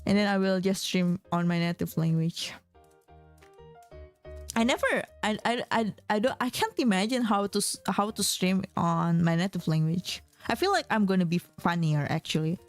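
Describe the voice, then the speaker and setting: A woman's voice: medium pitch, moderate pace, faint music behind. A young woman streamer who is not a native English speaker, chatting casually with her viewers in a rambling way and laughing often. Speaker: vtuber streamer.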